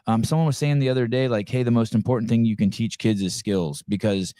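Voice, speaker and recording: A man's voice: medium pitch, fairly even intonation, fast talker, warm tone, no echo. Joking, rambling male podcast host. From Santa Cruz, California. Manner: rambling, joking, stumbles and restarts a lot. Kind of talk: casual interview.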